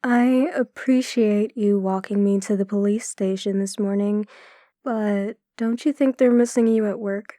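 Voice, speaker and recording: A woman's voice: medium pitch, steady tone, measured pace, mellow, no room sound, lightly acted. An actress playing a guarded, tough female police chief in an audio drama, with a dry, firm manner. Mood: softening.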